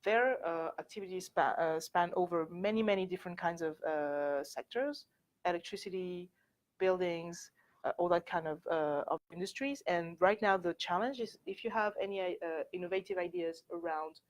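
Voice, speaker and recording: A young woman with a French accent speaks to a room of students in a loose, candid way, leaning on "like" and "actually" as she goes. Her voice sits a little low, and she sometimes drifts away from the mic. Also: moderate pace. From France. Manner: chatty, candid, filler-heavy with frequent "like" and "actually". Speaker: workshop speaker. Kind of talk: informal presentation to students. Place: university lecture room.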